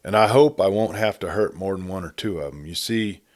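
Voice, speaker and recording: A young western drifter played by a male narrator speaks in a low, gentle drawl. He is rustic, half-wild and unemotional, with a quiet menace, as in a storybook western. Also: fairly quick, close to an everyday voice. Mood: gentle, earnest. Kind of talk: audiobook character voice, rustic western speech.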